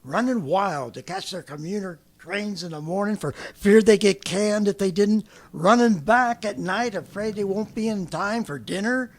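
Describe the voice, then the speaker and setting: High, fanatical American survivalist soldier. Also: male voice, moderate pace, few pauses. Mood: scornful, scheming. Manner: ranting, colloquial, swinging from brooding to frenzied. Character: fanatical survivalist artilleryman, swept up in grandiose schemes. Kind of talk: 1930s radio drama acting.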